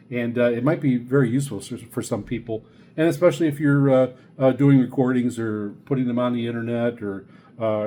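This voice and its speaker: Older guy doing a laid-back gear review, soft-edged mellow voice, kinda halting and rambly. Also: mid-range voice, slight background noise. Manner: halting and rambling, stumbles and repeats words. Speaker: radio reviewer presenting a video series. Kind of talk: unscripted casual product review.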